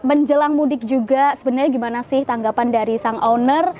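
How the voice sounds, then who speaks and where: A woman's voice: high voice, steady tone, brisk, dark tone. A woman interviewing a guest in a garage, chatty and curious, laughing often. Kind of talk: casual interview.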